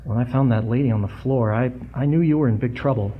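A woman's voice: a bass voice, fast, slightly rough, phone-quality sound. A woman playing a folksy, talkative young delivery boy in a 1940s-style radio adventure. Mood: earnest.

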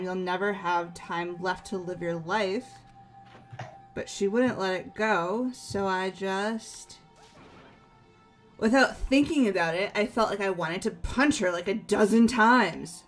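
A woman's voice: medium pitch, natural voice. A woman playing an earnest, emotional, sporty teenage girl in a video game, sincere and a little hesitant. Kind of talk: video game character voice-over. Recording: music bed behind voice.

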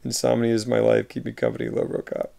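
Thirties man, deeply gravelly, easygoing streamer voice answering chat from his home room on a webcam mic. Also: monotone, fast talker. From United States. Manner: reads viewer messages aloud and replies warmly, often laughing. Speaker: livestreamer reading chat. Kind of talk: casual chat. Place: home room with shelves of films.

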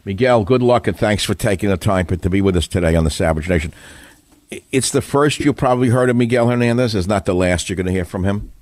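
A man hosting a political talk radio show, forceful and rambling, talking emphatically. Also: on the low side, fast talker, dry recording. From New York.

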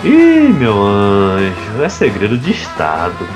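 A man's voice: fairly low pitch, huge pitch swings, takes it slowly, lo-fi sound, heavily acted. A young man playing an evasive, troubled rich playboy in an audio drama. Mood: evasive, affectionate. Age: young adult. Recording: music under the voice.

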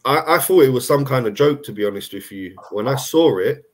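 A man, croaky from a cold, talking earnestly as a guest on a video call. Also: mid-range voice, expressive, brisk. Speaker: guest panelist. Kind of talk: casual chat on video call.

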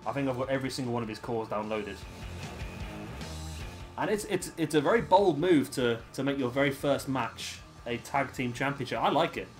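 A hoarse man, hosting and casually commentating a wrestling video game. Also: mid-range voice, expressive, moderate pace, faint music behind. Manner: chatty, laughing, swears casually. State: hoarse, lost his voice. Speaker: host of a gaming channel.

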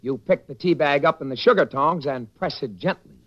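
A man's voice: a little high, fairly quick, lightly acted. A man playing an earnest baseball figure putting on refined literary airs in a 1940s radio comedy. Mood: earnest, proud. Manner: proudly explains trivia with mock gentility. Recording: muffled, faintly noisy 1940s radio recording.

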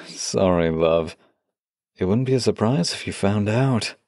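A man in a close-miked audio roleplay, speaking softly and affectionately with a teasing edge, as a voice actor addressing a loved one. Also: on the low side, lively intonation, takes it slowly, dry recording.

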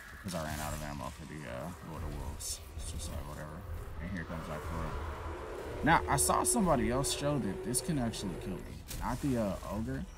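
A man giving casual, profane gameplay commentary, griping in exasperation as he plays a shooter. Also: low-pitched. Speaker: gaming video creator playing a shooter. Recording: clear game noise behind the voice. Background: shooter game sounds.

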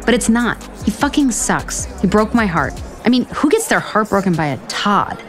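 Woman playing messy lovelorn female writer, mid-pitched voice, candid, sweary, self-deprecating, audio rom-com with faint music. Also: sing-song, moderate pace, lightly acted. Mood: apologetic, nervous. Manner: frank, rambling, profane. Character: earnest, self-deprecating, foul-mouthed lovelorn woman author. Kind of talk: romantic comedy audio drama acting.

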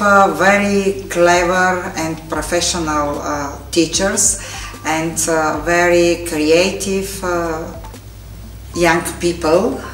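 A middle-aged woman with a Bulgarian accent, a school principal speaking slowly and hesitantly, with many fillers. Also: on the low side. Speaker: school principal and biology teacher. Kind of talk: testimonial to camera. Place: council hall with flags, indoors. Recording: roomy hall, distant mic, faint music.

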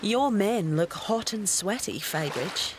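A woman's voice: medium pitch, expressive, slow, slight background noise. An actress playing a cocky female mercenary who talks in a teasing, cool, confident way. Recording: with loud music score.

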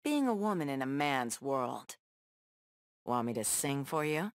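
A woman's voice: rumbling low, big pitch swings, a little slow, no room sound, studio quality, exaggerated voice. A woman playing a tough, sassy superheroine in a video game, trading confident, defiant taunts and wry one-liners. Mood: taunting. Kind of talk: video game battle banter.

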